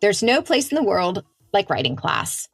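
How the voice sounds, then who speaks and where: Animated woman co-host, swearing and excitable. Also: medium pitch, moderate pace, breathy edge, no room sound, clean studio sound, soft background music. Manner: excitable, swears freely, laughs. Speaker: podcast co-host. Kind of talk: casual chat.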